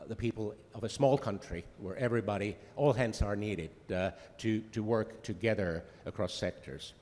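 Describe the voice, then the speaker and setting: Finnish diplomat guy at a conference, lowish voice, lots of uhs, big echoey hall. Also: a little mellow. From Finland. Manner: hesitant, many filler sounds and restarts. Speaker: diplomat giving welcome remarks. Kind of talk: conference welcome speech.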